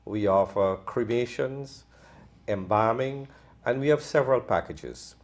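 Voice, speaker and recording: A man in his fifties from Guyana, a doctor, answering interview questions in a calm, measured way. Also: medium pitch. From Guyana, New Amsterdam. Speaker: medical doctor, crematorium co-owner. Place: studio.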